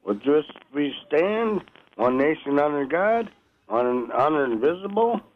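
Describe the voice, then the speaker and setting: A man's voice: on the high side, sing-song, measured pace. A man chatting casually in a rambling, muddled way, sounding like a phone caller on radio. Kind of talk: casual, unscripted chat. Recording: tinny phone-like band, faint noise.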